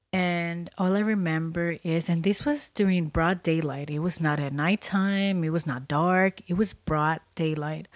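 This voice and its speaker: Woman, 30s, podcast host, stuffy from a cold, slow and reflective, lowish voice. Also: no room sound. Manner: unhurried, reflective, trails off and restarts. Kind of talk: casual storytelling monologue.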